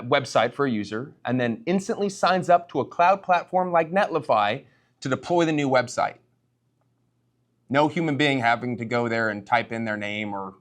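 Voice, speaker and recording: A man speaking as a startup founder at a tech meetup, conversational, addressing the audience directly and posing rhetorical questions. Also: medium pitch.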